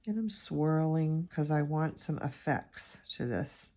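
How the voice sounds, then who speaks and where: A woman with a raspy, allergy-hoarse voice, chatting and rambling as she teaches a watercolor painting lesson, recorded on a phone camera. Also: sits low, expressive, slow pace, dark tone. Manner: chatty, rambling, thinks aloud while painting. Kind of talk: casual painting tutorial.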